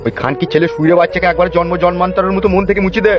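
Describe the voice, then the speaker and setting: An indignant, shame-stricken village father put on by a male cartoon actor scolds and laments. His voice is high and very quick, recorded a little dully with music behind. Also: steady tone, natural voice. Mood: furious. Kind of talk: cartoon comedy-drama voice acting. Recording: dull audio with music behind.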